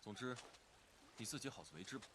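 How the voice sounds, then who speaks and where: An actor playing a stern, reserved young man in an animated series, speaking in a curt, controlled way. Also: fairly low pitch, fast, crowd noise, natural voice. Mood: cold.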